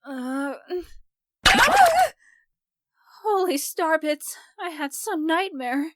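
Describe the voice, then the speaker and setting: A woman voicing a haughty, indignant young space princess in an animated comic dub, articulate and scolding. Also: sits high, takes it slowly, slight background noise. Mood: groggy, shaken.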